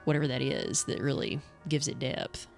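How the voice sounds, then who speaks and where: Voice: mid-range voice, level tone, moderate pace, creaky, faint music behind. A podcast co-host talking in casual, conversational chat.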